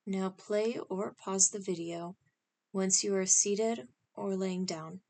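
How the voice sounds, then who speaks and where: A woman leading a scripted guided meditation in a calm, instructional manner, speaking in short, spaced phrases. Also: mid-range voice, slow.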